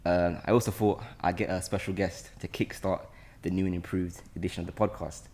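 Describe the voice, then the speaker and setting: A man's voice: low voice, fairly even intonation, brisk, darkish tone. A young man chatting casually and teasingly as a podcast host. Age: young adult. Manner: joking, teasing. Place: indoor studio room with sofa. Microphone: podcast mic on boom arm.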